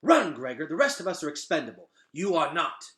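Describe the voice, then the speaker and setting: A man reads the part of a grave, commanding old man in a storybook. He leads an underground people and speaks in formal, solemn phrases, with authority and an animated rise and fall. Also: a little high, a little fast, pitched above the performer's own voice. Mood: urgent command. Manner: solemn, formal, urgent orders.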